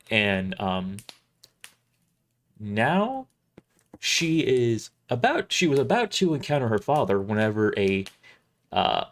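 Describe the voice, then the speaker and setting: Guy on a story stream, casual talk and narration, pitch moves around a lot. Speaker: streamer and author reading own book. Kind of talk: casual livestream chat and narration of a sci-fi story.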